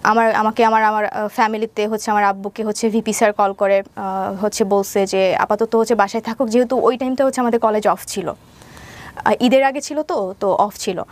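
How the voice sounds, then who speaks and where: A woman's voice: medium pitch, steady tone, fairly quick. A young woman with a Bangladeshi accent, giving a personal account as an interview guest and mixing in English words. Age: young adult. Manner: mixes English words into her speech.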